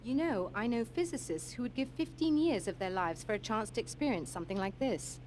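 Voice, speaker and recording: A woman playing an enthusiastic game scientist, fairly high-voiced and wry. Her intonation is lively, and there is noisy ambience around her. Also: brisk, natural voice. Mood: eager, amused. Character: keen, wry female scientist, excitable. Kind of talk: video game cutscene acting.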